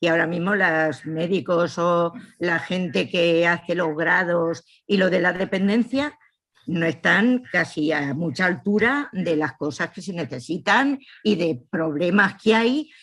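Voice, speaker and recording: A woman's voice: lowish voice, a bit bright. A woman with a southeastern Spanish accent gives a personal testimony at a public talk as a family carer. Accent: Castilian Spanish from southeastern Spain. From Spain. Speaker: course attendee and family carer.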